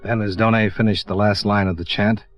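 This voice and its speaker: An American man playing a terse, hard-boiled investigator in an old radio drama, dry and deliberate. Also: fairly low pitch, level tone, faint music behind, natural voice. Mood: grim narrating. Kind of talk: 1950s radio narration and acting. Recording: dull old radio recording.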